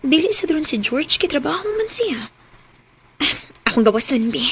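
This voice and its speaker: A woman's voice: high voice, big pitch swings, moderate pace. An actress playing a proud, emotional young mother in a radio drama, with a Filipino accent. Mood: weary. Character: wronged young woman Yana, proud, emotional, newly a mother. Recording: noisy radio drama recording.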